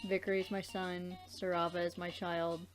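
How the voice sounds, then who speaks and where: A woman's voice: on the low side, little pitch movement, normal speed, soft background music. A woman co-hosting a let's play, commenting casually and reacting with blunt disbelief.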